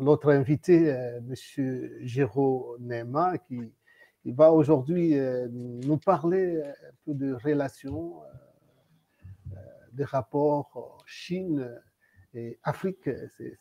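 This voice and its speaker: Man, show host, gravelly voice, halting, hesitant. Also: mid-range voice, mellow, muffled recording. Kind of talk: introducing a guest on a discussion show.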